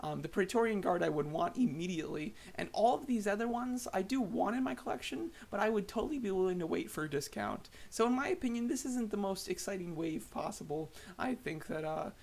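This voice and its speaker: A slightly hoarse man giving casual, rambling voice-over thoughts on collectible figures, with many fillers and restarts. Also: high voice, animated, a little fast, hardly any pauses. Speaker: toy collector vlogger.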